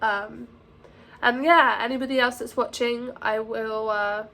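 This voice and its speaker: Croaky, anxious young woman vlogging. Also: a little high, fairly even intonation, sharp tone. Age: young adult. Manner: anxious, halting, full of fillers and restarts. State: croaky from a sore throat. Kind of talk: casual to-camera vlog.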